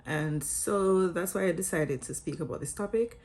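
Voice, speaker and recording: A young woman speaks in a low register with a clear Jamaican accent. She addresses her viewers like a teacher, instructive and articulate, loosening a prepared script with casual, playful asides. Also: normal speed, a little mellow. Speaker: vlogger and English teacher. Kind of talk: scripted vlog talking to camera with casual asides.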